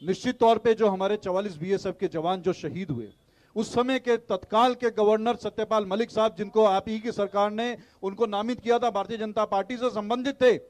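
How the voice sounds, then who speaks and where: A man's voice: high voice, a little mellow. A man speaking as an opposition party spokesman in a heated TV debate, insistent and argumentative, pushing on through interruptions.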